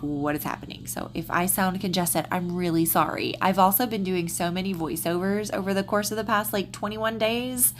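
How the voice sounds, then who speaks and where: Chatty congested Southern woman, low voice. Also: slight background noise. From Texas, USA. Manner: chatty, rambling, many ums and restarts. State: slightly congested. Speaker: planner vlogger. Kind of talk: casual plan-with-me voiceover.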